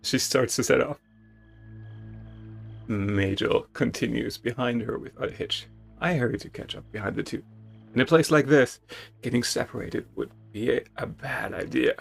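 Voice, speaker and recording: A man's voice: mid-range voice, moderate pace, gravelly. A man streaming a dramatic, theatrical reading of game text, with casual asides to viewers. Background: music.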